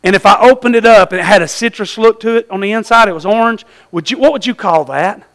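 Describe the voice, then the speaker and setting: A male preacher with a Southern US accent and an extremely high-pitched voice. His tone is bright and forceful. He delivers a church sermon in a folksy, urging style, leaning on repeated phrases and direct questions. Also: fast talker.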